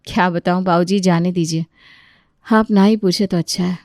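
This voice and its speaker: A woman's voice: on the low side, steady tone, normal speed, darkish tone, no echo, clean studio sound, pitched below the performer's own voice, natural voice. A woman playing a patient, weary elder daughter-in-law, respectful but aggrieved, in a family story.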